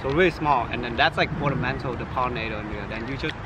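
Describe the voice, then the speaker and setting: A man's voice: mid-range voice, quick pace, muffled recording. Man explaining fruit growing outdoors. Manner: plain, step-by-step explaining with fillers. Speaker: guest pomegranate grower. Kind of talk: casual explaining. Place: residential yard outdoors. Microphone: camera held by another person a few feet away. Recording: outdoor recording with traffic noise.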